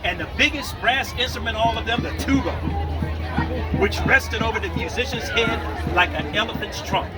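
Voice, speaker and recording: A man's voice: sits high, moderate pace. A man telling a story aloud to children, informal and interactive, often checking in with his young listeners. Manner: informal, checks in with the audience, invites responses. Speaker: children's storyteller at a book festival. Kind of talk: animated read-aloud to kids, with asides and call-outs. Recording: distant mic, loud music and crowd noise. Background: music and a noisy crowd.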